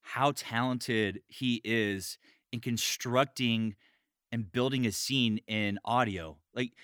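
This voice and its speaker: A man hosting a casual podcast interview, bantering and excitable, swearing freely. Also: medium pitch, slow pace, no echo, studio quality. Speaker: podcast host.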